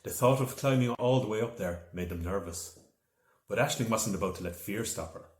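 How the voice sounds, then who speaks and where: A man with a lowish voice narrates a children's storybook. His delivery is expressive and dramatic. The room around him rings with noticeable echo. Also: fairly quick. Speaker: author reading from own novel. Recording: echoey room.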